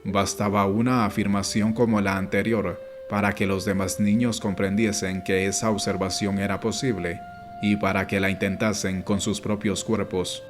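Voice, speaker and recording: A man reading an audiobook as scripted narration, in a measured, instructive way. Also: fairly low pitch, little pitch movement. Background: background music.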